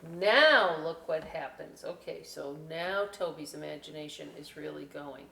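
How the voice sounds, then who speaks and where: A woman presenting a children's story time, reading aloud with chatty, animated, folksy asides straight to young viewers. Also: deep voice, a little slow, vocal fry. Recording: roomy, distant mic with faint hiss.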